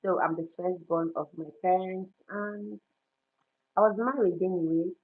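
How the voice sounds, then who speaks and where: Soft-spoken Nigerian woman on a tinny line. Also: on the low side, fairly even intonation. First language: Igbo. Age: 30s. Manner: halting and soft-spoken, frequent restarts. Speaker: livestream host. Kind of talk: casual livestream chat with viewers. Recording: tinny phone-like audio.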